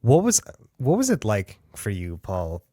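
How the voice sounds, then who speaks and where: A man's voice: on the low side, huge pitch swings, unhurried, mellow, dry recording, studio quality. A man hosting an audiobook podcast, interviewing an author in an enthusiastic, chatty way. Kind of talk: casual interview.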